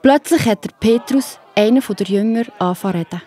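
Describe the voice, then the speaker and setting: A woman's voice: fairly high pitch, a little fast, warm tone. A woman with a Swiss German accent narrating a children's Bible story in a scripted storytelling style.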